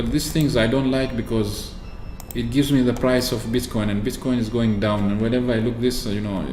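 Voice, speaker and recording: A man from Oman chatting casually through a software walkthrough, explaining with fillers and restarts. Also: mid-range voice, a little hiss or hum. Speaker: tech video host. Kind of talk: screen-recorded software walkthrough. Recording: echoey room with faint ambience.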